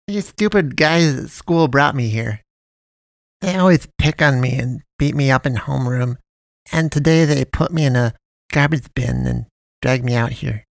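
A man's voice: medium pitch, normal speed, creaky, dry recording, voice pushed up, lightly acted. A man voicing a shy, bullied young schoolboy who speaks in a downcast way. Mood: timid.

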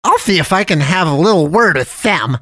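Loud, squeaky, folksy storybook cat man, purring and sweetly cajoling, dry close sound. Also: lively intonation, fairly quick, dry recording. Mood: friendly, jolly. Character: jolly chubby male cat man of the dump.

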